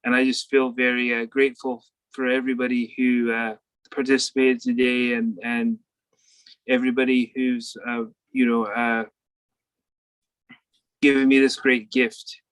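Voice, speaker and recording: A newly ordained novice priest giving halting, heartfelt thanks, full of pauses and restarts. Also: male voice, mid-range voice, completely flat delivery, a bit bright, clear, resonant tone, no room sound, poor audio. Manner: hesitant, full of fillers and restarts.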